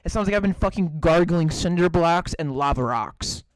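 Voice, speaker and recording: A man hosting a podcast, with a raspy voice and a plugged-up nose, swearing and laughing in casual chat. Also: fairly high pitch, lively intonation, normal speed, no room sound. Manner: profane, laughing, voice cracks. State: stuffy nose. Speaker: podcast host.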